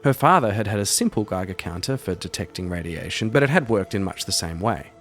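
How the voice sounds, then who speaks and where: A man's voice: lowish voice, expressive, fairly quick, soft background music. A man hosting a solo role-playing audio show, moving between storytelling and casual thinking aloud about the game. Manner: thinks aloud while playing, self-deprecating asides. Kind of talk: narrated story mixed with casual game commentary.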